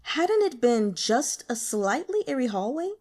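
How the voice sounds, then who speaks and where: A woman narrating a novel as an audiobook reader, in an expressive scripted style. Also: high-pitched, a little slow.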